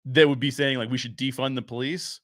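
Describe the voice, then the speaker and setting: A man's voice: medium pitch, fairly even intonation, fairly quick, a bit bright, no echo. A man with a mid-range voice talks as the host of a commentary show. He reasons through his opinions conversationally, thinking aloud and qualifying points as he goes. The sound is dry, with very little room on it.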